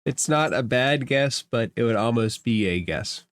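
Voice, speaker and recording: A man's voice: medium pitch, animated, measured pace, fairly bright tone, a little hiss or hum. A man running a tabletop role-playing game as game master, improvising his narration in a conversational way.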